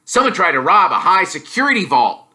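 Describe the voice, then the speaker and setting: Guy doing an excitable storybook wizard boy, loud n bright, blurts stuff out, swoops way up. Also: high-pitched, fairly quick, pitched above the performer's own voice, full character voice. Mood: excited. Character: excitable, blunt young wizard boy, easily gloomy.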